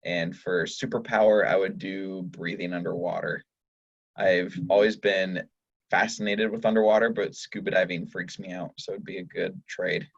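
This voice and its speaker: A young man introduces himself in an easygoing way. His voice is very deep, and the sound comes through dull and muffled. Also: steady tone, normal speed, creaky, slightly rough. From Idaho. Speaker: medical student. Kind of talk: casual self-introduction. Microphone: video call.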